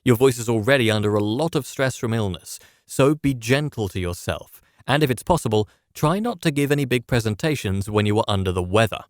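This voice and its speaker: Male presenter for instructional narration, mid pitch, measured and explanatory, very dry recording. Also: animated, no room sound. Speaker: presenter of public speaking lessons.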